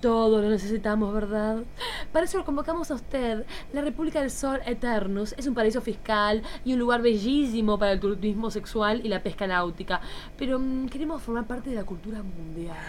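A woman's voice: a little high, a little fast, slight background noise, lightly acted. A woman playing a nervous, flirtatious female diplomat in a campy radio play, with gushing, giggly exclamations. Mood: chatty, coy.

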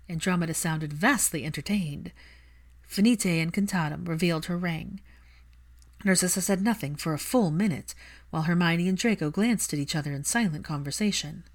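Low-pitched woman narrating a story. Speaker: fan fiction narrator. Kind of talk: scripted audiobook narration.

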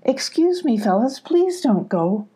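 A woman's voice: a little high, sing-song, normal speed, mellow, breathy edge, pitched above the performer's own voice, full character voice. A woman voicing a small, sweet young female animal in a children's storybook, speaking politely and cheerfully. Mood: pleading. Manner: polite, coaxing.

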